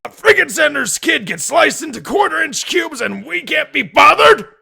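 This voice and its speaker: Man as a gravelly, hot-tempered detective. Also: very high-pitched, big pitch swings, normal speed, exaggerated voice. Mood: outraged. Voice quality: gravelly, raspy. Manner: ranting, forceful, swinging between mutter and shout. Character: hot-tempered, embittered male police detective. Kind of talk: comic dub acting.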